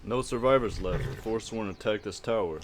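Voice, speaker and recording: A man's voice: fairly low pitch, moderate pace, slight background noise. A man giving casual let's-play commentary as a gaming streamer, with game sound behind him. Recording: loud game ambience behind voice.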